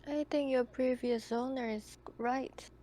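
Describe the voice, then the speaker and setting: A woman's voice: sits high, fairly even intonation, unhurried, dark tone, slight background noise. A woman bantering casually as a player in a tabletop roleplaying game.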